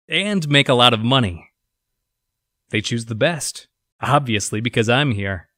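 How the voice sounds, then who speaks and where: A man's voice: mid-range voice, bright, forceful tone, no echo, studio quality. A man playing an arrogant, antisocial virus researcher reading his journal in an audio drama, smug and grudging.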